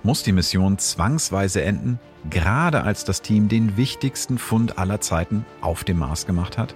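Deep-voiced male science narrator. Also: expressive, music in the background. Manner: measured, explanatory. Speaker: host and astrophysicist.

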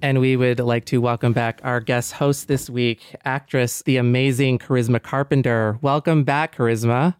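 A man's voice: mid-range voice, fairly even intonation, moderate pace, few pauses, smooth, dry recording, clean studio sound. A man hosting a podcast in a casual, reflective discussion, thinking aloud in an earnest way. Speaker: podcast host.

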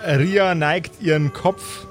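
A man narrating an improvised tabletop role-play as game master, with deliberate, theatrical description. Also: fairly high pitch, fairly even intonation. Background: faint music.

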